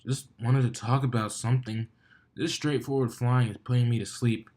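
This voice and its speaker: A man's voice: medium pitch, steady tone, normal speed, a little mellow, lightly acted. A man voicing a cocky young male pegasus stunt flyer from a story, with a casual, teasing manner. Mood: casual, coaxing.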